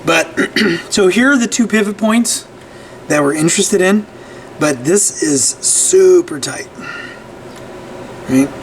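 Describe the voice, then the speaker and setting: A man with a slightly high voice walks through a repair at his workbench, loose and conversational, often stopping to ask "right?" and restarting his sentences. A faint hum of room noise sits under his voice. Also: takes it slowly, long pauses. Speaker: repair channel host. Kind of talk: casual repair tutorial.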